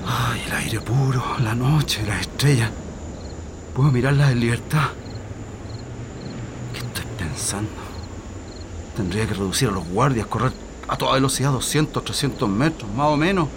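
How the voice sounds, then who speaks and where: An actor playing an anxious, submissive political prisoner in a radio drama, with a Chilean accent and a hesitant, pleading way of talking. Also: male voice, mid-range voice, moderate pace, long pauses, background noise, close to an everyday voice. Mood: hushed, torn. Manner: hesitant, pleading, muttering to himself. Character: anxious, submissive male political prisoner, blindfolded, longing for freedom. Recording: radio drama with music behind.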